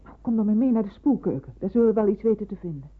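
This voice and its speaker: A woman's voice: medium pitch, expressive, very fast, husky. An actress playing a motherly hospital nurse, skeptical but helpful, in a radio drama. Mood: concerned, doubtful. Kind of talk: Dutch radio drama acting. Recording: tinny, band-limited old radio recording.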